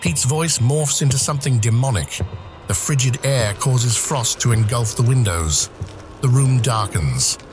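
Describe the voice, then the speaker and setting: A man's voice: medium pitch, lively intonation, a little slow, music in the background. A man reading scripted screenplay narration for an audio drama.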